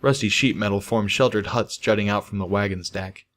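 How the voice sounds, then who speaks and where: A man's voice: on the low side, steady tone, brisk, a bit bright. A man reading a first-person fantasy story aloud as scripted narration. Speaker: audiobook reader of fan fiction.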